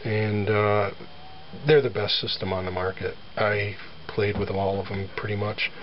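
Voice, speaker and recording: A man with a lowish, allergy-scratched voice chats loosely about his guitar, full of ums and throat clearing. The sound is dull and lo-fi, home camera audio with faint noise in the background. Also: level tone, unhurried. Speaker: guitar owner showing his guitar. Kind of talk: casual show-and-tell. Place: near a sunny front porch. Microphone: handheld camera filming close-up.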